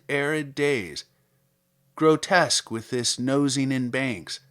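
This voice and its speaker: A man reading scripted literary material aloud as a podcast host, in a measured, articulate, theatrical manner. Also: mid-range voice, a bit bright. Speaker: podcast host and poetry reader. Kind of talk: scripted literary introduction and poetry recitation.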